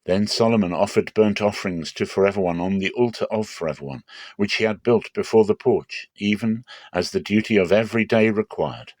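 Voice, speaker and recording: Low-voiced man reading scripture, dry sound. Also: brisk, darkish tone, dry recording. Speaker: narrator. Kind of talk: dramatic Bible reading.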